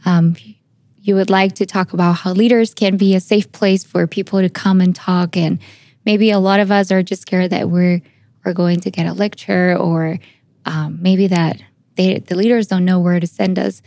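A woman interviewing in a conversational podcast style, phrasing her questions a little haltingly. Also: fairly low pitch, fairly even intonation, warm tone, no room sound.

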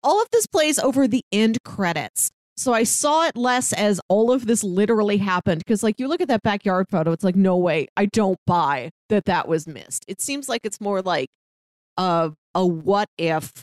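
A woman's voice: medium pitch, expressive, moderate pace, darkish tone, no room sound. A woman co-hosting a podcast, talking through a film in a casual, analytical way. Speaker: podcast co-host.

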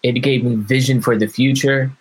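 A man hosts this podcast chat, asking friendly, loose questions and throwing in slang like "bro". His voice has a husky edge and sits at a middle pitch. He comes across as a relaxed, easygoing conversation partner. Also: moderate pace, rough recording. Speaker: podcast host. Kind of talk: casual interview chat.

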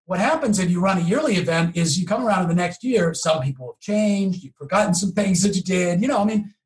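Male interview guest, slightly high voice, conversational explainer who tags points with rhetorical questions. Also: animated, quick pace. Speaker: marketing expert guest.

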